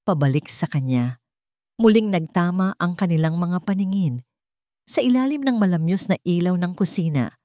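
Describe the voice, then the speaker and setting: A woman's voice: on the low side, warm tone, no room sound, clean studio sound. A woman giving a scripted, dramatic narration of a sentimental love story.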